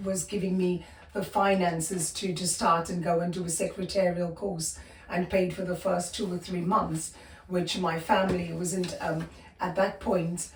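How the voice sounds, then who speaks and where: A South African woman in her fifties, a podcast guest telling her life story with many pauses, fillers and restarts. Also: lowish voice, roomy sound, far from the mic, a little hiss or hum, low-quality recording. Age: 50s. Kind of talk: personal storytelling interview.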